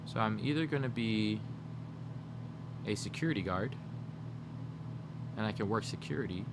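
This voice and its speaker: A young man livestreaming, chatting casually with his viewers and rambling as he brainstorms jokes out loud. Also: fairly low pitch, animated, moderate pace, heavy background noise. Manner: rambling, brainstorming jokes aloud, talking to chat. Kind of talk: casual chat with live viewers.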